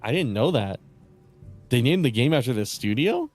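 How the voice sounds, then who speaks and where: Rambling man streaming, voice going raspy. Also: medium pitch, sing-song, normal speed, soft background music. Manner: rambling, profane, many fillers and restarts. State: voice getting raspy. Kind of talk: casual live-stream chat with viewers.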